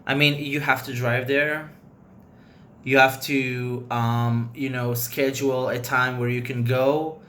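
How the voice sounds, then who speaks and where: A man's voice: mid-range voice, little pitch movement, takes it slowly, fairly bright tone, a little hiss or hum. Rambling native Hebrew speaker, man. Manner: rambling, loose, frank opinions. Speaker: vlogger. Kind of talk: casual chat to camera.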